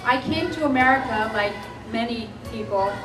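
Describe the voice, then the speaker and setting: A woman's voice: a little high, normal speed, background noise. A woman from Switzerland giving an earnest, emphatic public speech as an anti-drug activist. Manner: earnest, emphatic personal testimony. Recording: echoey room, distant mic, music behind.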